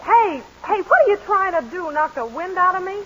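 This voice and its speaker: A woman's voice: very high-pitched, animated, brisk. An actress playing a spirited young woman who teases and plays along, on a 1940s radio play. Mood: teasing. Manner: teasing, playful. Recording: muffled 1940s radio broadcast with hiss.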